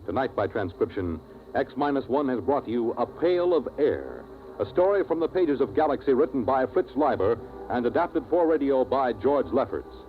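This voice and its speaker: A man reading closing credits as a radio announcer in a formal, crisp manner. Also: medium pitch, sing-song, normal speed. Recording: tinny old radio transcription with music.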